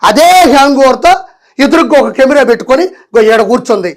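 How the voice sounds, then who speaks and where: Fast, high-voiced accusatory man. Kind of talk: mocking political commentary.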